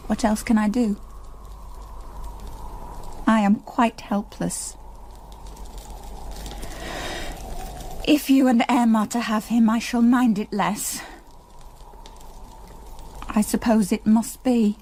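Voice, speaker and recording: A woman's voice: on the high side, fairly even intonation, slow, pauses often, warm tone, slight background noise. An actress playing a desperate young mother in a gothic radio drama, possessive of her child, impassioned and pleading. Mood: resigned despair.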